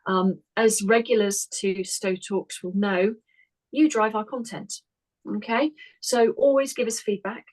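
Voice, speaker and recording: A woman's voice: mid-range voice, steady tone, unhurried, poor audio. Chatty woman hosting a webinar. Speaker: webinar host.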